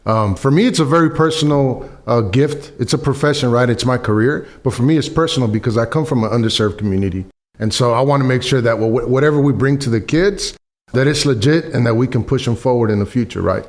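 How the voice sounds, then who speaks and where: A man who grew up between El Paso and East Los Angeles, speaking quickly and personally as a radio guest. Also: medium pitch. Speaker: youth program staff, guest. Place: radio studio.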